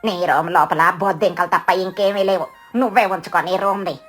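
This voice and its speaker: A woman's voice: lowish voice, steady tone, a little fast, a bit bright, faint music behind. A woman voicing a feisty, boastful mother crow who sells food in a cartoon story, dramatic and brash. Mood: indignant.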